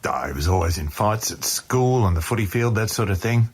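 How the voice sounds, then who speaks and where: A man's voice: lowish voice, expressive, a little fast, breathy edge. An actor playing an angry, blunt middle-aged father, protective and accusing, in an audio drama. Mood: scornful. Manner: blunt, emphatic.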